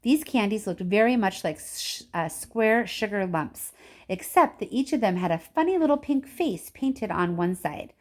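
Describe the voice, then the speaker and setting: A woman reads a children's novel aloud as a teacher would. Her pitch is middling but moves around a great deal. The narration is expressive and clearly meant for young listeners. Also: normal speed. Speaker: story reader, teacher.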